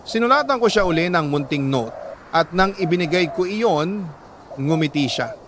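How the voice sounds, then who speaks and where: A Filipino man reads a first-person letter as dramatic scripted narration, in short, measured phrases. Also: on the high side, lively intonation, background noise.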